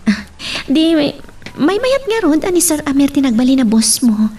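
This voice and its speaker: A woman playing a sweet, gentle, devout young woman in a radio love drama. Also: high-pitched, sing-song, moderate pace. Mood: teasing, gentle. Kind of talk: Ilocano radio drama acting. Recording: slightly distant microphone.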